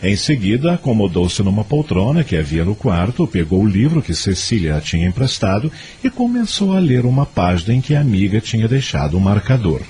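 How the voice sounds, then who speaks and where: A man narrating a scripted audio drama in an expressive storytelling manner. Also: lowish voice, brisk, few pauses.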